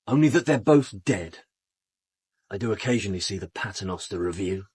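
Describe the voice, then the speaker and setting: A man's voice: lowish voice, animated, brisk, a little mellow, husky, no room sound. An actor playing a cool, supercilious British man in a radio drama, speaking in a dry, clipped, disdainful way. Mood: sardonic. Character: cool, supercilious, sardonic man under police questioning.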